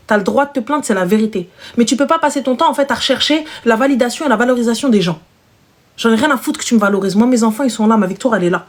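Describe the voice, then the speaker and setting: A woman's voice: fairly high pitch, rapid-fire. A single mother hosting a live stream, chatting casually and emphatically with slangy speech and repeated phrases.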